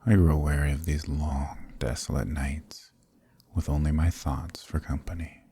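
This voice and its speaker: A man playing a sadistic, possessive vampire lord in an ASMR roleplay, with a breathy, gravelly voice and a menacing, mockingly tender purr. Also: extremely low pitch, little pitch movement, a little slow, dark tone, a little hiss or hum. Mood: seductive, possessive. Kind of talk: ASMR roleplay audio drama.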